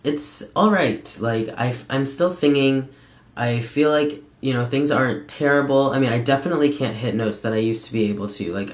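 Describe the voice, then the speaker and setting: A young man giving a casual, candid personal update in a conversational, halting way with frequent pauses. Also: medium pitch. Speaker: vlogger. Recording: echoey room sound.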